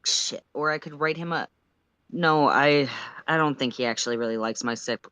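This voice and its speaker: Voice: medium pitch, normal speed, bright, forceful tone, smooth, dry recording, natural voice. A narrator voicing an anxious, sarcastic teenage boy who mutters and interrupts himself in a story reading. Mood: flustered. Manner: muttering, self-interrupting, sardonic.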